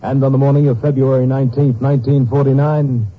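A man's voice: mid-range voice, little pitch movement, quick pace. A man reading formally as a 1950s radio announcer. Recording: muffled old radio broadcast recording.

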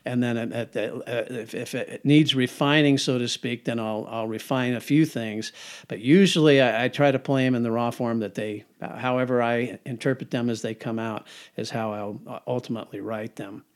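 A man's voice: mid-range voice, steady tone, moderate pace. An older man, a songwriter, answering interview questions in a rambling, repetitive way and chuckling often. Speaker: singer-songwriter guest.

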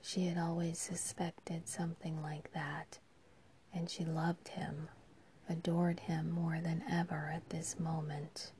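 A woman reads a story entirely in a hushed whisper. Her delivery is unhurried and low, with a flat, even line. A faint background hum sits under the voice. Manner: soft, hushed whisper throughout. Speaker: narrator. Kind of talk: whispered audiobook reading.

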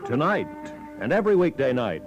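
A man's voice: mid-range voice, very animated, brisk, dull audio, lightly acted. An American man announcing a 1950s radio program in an emphatic, theatrical style. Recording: vintage radio broadcast with music.

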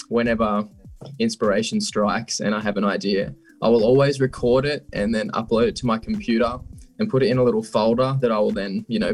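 A young man, a musician guest, talking casually in an interview, relaxed with frequent laughs and ums. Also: on the low side.

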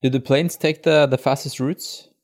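A man's voice: medium pitch, steady tone, moderate pace, darkish tone. A man hosting a casual conversation, easygoing and hesitant, with many fillers as he finds his words. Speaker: podcast host. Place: friend's house, video call.

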